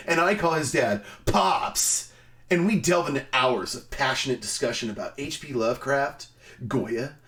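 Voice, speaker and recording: A man giving a dramatic reading on a stream, theatrical in delivery, swinging between emphatic outbursts and hushed, drawn-out phrases. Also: fairly high pitch, distant mic. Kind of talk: dramatic reading of a written review.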